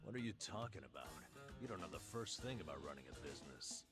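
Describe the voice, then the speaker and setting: Male game ally: dry, blunt, supportive, lowish pitch, light music underneath. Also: lively intonation, quick pace, fairly bright tone. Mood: sceptical. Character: sceptical, dry but supportive male companion. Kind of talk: video game voice acting. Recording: music behind the voice.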